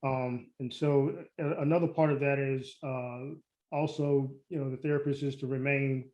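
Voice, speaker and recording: A man giving a class presentation, halting and searching for his words. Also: medium pitch, fairly even intonation, darkish tone. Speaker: student presenter. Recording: roomy echoing room.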